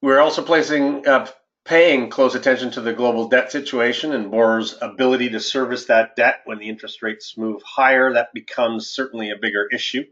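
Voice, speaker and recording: A man delivers formal, measured market commentary as the host of an investment webinar. His voice sits at an ordinary male pitch, and the audio is dull and lo-fi, like a conference call. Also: steady tone, fairly bright tone. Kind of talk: webinar presentation, partly scripted market commentary. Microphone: conference call line.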